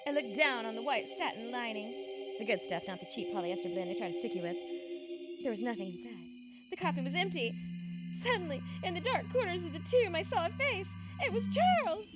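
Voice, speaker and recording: Lady doing an old radio heroine, highish voice, dramatic with deadpan asides, loud music under. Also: brisk, rough recording. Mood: panicked. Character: earnest, melodramatic 1940s wife turned frightened sleuth. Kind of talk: 1940s radio acting with first-person narration.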